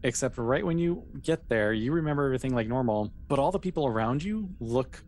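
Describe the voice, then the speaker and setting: Game master for tabletop sci-fi, medium pitch, conversational and improvising, some noise behind the voice. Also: lively intonation, brisk, darkish tone. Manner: conversational, improvising, hesitant phrasing. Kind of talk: narrating a tabletop role-playing game.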